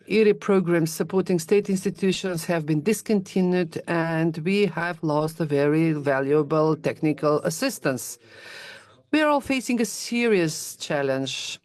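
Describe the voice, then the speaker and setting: A woman's voice: lowish voice, a little mellow, dry recording. Woman interpreting a formal speech, dry sound. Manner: formal, with frequent fillers and self-corrections.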